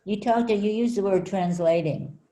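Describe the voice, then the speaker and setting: A woman interviewing an artist, asking halting, searching questions. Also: medium pitch, mellow, poor audio. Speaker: interviewer, editor at large. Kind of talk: conversational interview.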